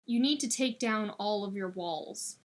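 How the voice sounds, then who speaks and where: A woman's voice: mid-range voice, a little slow, natural voice. A woman voicing a blunt, teasing young man who gives a friend authoritative advice in an audiobook story. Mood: firm advice. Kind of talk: audiobook character dialogue.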